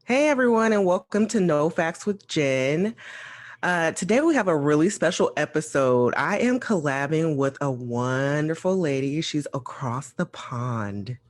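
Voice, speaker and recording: A young adult woman hosting a casual podcast chat, relaxed and laughing often. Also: low voice, unhurried. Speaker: podcast host.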